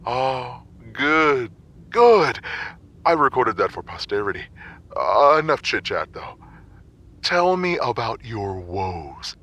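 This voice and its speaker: A man playing a smug, teasing, grumpy former mentor with a theatrical, drawn-out delivery in an audio drama. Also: mid-range voice, big pitch swings, sharp tone, exaggerated voice.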